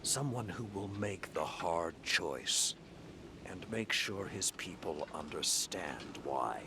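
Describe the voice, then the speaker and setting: An actor playing a grizzled, stern, world-weary veteran warlord in a video game cutscene, with a gruff and weighty delivery. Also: male voice, animated, slow pace, background noise, exaggerated voice. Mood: angry, firm. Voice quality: deep gravelly growl.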